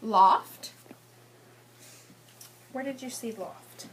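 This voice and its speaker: A woman teacher leads a read-aloud for young children. Her voice sits a little high, and she moves between storybook narration and prompting questions. There is faint noise behind her. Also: drawn-out words, a bit bright, poor audio. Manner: often repeats children's answers back, prompting them with questions. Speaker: teacher reading a picture book to young children. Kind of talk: interactive read-aloud lesson with questions and explanations.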